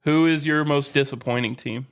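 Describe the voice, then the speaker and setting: A man co-hosting a podcast in casual sports chat, steady and explanatory, slowing down to stress a point. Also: fairly high pitch, clean tone. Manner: steady, explains and asks questions, slows for emphasis. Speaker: podcast co-host.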